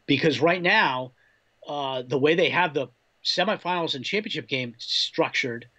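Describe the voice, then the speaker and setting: An American man, a sportswriter guest, talking through college football analysis in a measured, conversational way. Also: a little high, bright, forceful tone. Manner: measured, reasoning through points.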